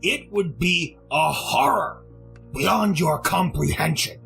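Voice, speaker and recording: Man acting a high-pitched, portentous prophet of doom. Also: animated, slow pace, vocal fry, fairly bright tone, faint music behind, full character voice. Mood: grave, ominous. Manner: portentous, emphatic. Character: grave, foreboding man warning of horror. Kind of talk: retro 1930s radio drama acting.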